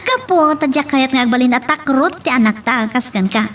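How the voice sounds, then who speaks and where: A woman's voice: high voice, expressive, moderate pace, a bit bright, slight background noise. A woman playing an emotional young parent in a melodramatic audio drama. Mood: earnest. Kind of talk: Ilocano audio drama acting.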